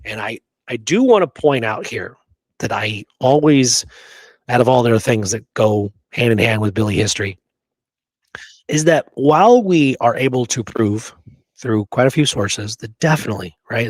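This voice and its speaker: A man's voice: medium pitch, no room sound. A man co-hosting a casual history discussion, talking emphatically and often checking in with "right?". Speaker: podcast co-host.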